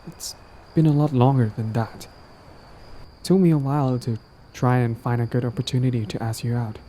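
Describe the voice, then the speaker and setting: Man acting as a mellow, lovestruck, coaxing villain. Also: medium pitch, fairly even intonation, normal speed, a little hiss or hum, natural voice, poor audio. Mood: hopeful, flirtatious.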